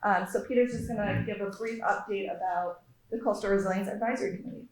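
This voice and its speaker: A woman who is town staff, speaking briskly and in a friendly way at a forum. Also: medium pitch, slight background noise. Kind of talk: forum presentation. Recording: roomy echo.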